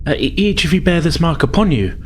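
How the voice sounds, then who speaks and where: A man's voice: on the high side, big pitch swings, fairly quick. A man playing an earnest, devout alien in a tabletop game, speaking formally and hesitantly. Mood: earnest, reverent. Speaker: tabletop role-playing player. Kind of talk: in-character role-playing as an alien pilot. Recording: faint background noise.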